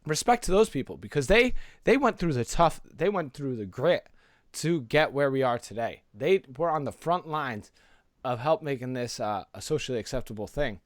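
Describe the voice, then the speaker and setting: A man's voice: medium pitch, lively intonation, normal speed, no echo. Casual man chatting, close dry sound. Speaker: podcast co-host. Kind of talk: casual pop culture podcast chat.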